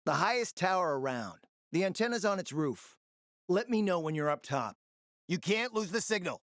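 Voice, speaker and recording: Casting: a calculating city schemer in a post-apocalyptic game, sardonic and confident, very varied intonation, close dry sound. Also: male voice, high-pitched, big pitch swings, normal speed, a bit bright, no echo, heavily acted. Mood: urgent, commanding. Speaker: video game voice actor. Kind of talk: dramatic video game dialogue.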